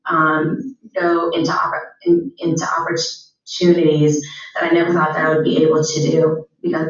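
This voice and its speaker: A teenage girl giving a school presentation, stuttering with repeated words and frequent fillers. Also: low-pitched. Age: teens. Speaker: student speaker. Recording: very echoey, distant, dull sound.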